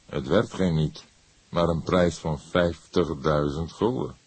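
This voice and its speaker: A man playing a calm older police detective who questions in a measured, probing way in an audiobook. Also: very deep voice, breathy edge, dry recording, exaggerated voice. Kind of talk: acted audiobook dialogue.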